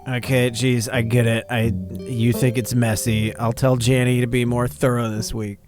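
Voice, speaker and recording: A man's voice: medium pitch, level tone, normal speed, music in the background, close to an everyday voice. A man voicing a curt, street-smart woman in a horror visual novel, dry and dismissive. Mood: curt, defensive. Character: curt, street-smart woman hosting a visitor in her decaying house.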